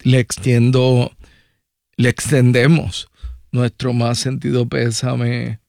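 A man's voice: medium pitch, little pitch movement, takes it slowly, gravelly, darkish tone, no echo, clean studio sound. A man with a Puerto Rican accent hosting a radio talk show, conversational with rambling personal asides. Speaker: radio host. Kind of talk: radio news and interview talk.

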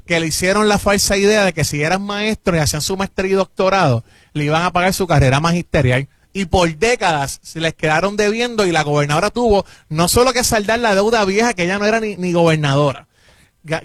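A man's voice: sits high, no room sound. A man from Puerto Rico with a Puerto Rican accent, a government official answering interview questions emphatically and insistently. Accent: Puerto Rican Spanish. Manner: emphatic, insistent, repeats points. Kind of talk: interview answers, political defense.

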